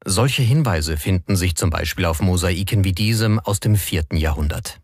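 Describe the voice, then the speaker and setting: A man's voice: low voice, moderate pace, dry recording, studio quality. A man reading scripted documentary narration in German as the narrator.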